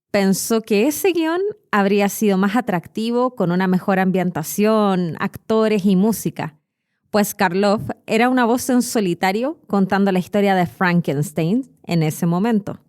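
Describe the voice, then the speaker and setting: A woman's voice: medium pitch, measured pace, darkish tone. A woman with a Chilean accent hosting a language podcast, narrating scripted educational stories and addressing the listener directly. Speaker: podcast host and Spanish teacher. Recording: music behind the voice.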